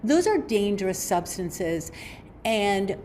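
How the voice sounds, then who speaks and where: A woman's voice: medium pitch, sing-song, slow pace, a little mellow, slight background noise. A woman guest on a podcast giving nutrition advice in a firm, matter-of-fact way.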